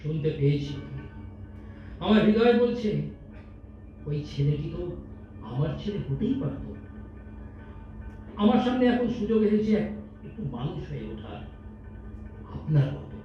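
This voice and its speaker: A man acting a troubled, soft-hearted managing director in a staged audio drama, speaking emotionally with dramatic pauses. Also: on the high side, very animated, soft background music. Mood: wavering, heartfelt. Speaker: amateur stage actor in an audio drama competition. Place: multipurpose hall stage. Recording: echoey hall, distant mic, dull sound.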